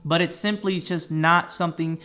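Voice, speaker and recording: A man's voice: on the high side, fairly even intonation, moderate pace, sharp tone. A man in his thirties from the Dominican Republic, giving an earnest motivational talk to camera and ending his points with "okay?". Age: 30s. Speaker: personal finance YouTuber.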